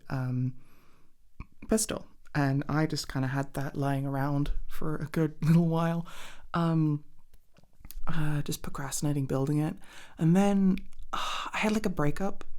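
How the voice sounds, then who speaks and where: A young woman with a soft voice that often slips toward a whisper, rambling casually and hesitantly in an intimate ASMR chat as if to her partner, swearing offhandedly. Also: sits low. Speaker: ASMR roleplay performer playing a girlfriend.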